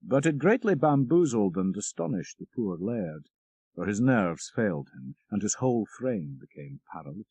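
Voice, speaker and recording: A man narrating a classic supernatural tale in a measured, expressive storytelling manner, with dramatic emphasis. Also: lowish voice, husky, no echo. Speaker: audiobook narrator.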